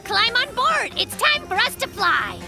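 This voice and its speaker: An actor voicing an eager, adventurous little polar bear cub in a children's cartoon, with an excitable, exclamatory delivery. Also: very high voice, measured pace, gravelly, over music, exaggerated voice. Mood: eager, upbeat. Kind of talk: children's animated cartoon dubbing.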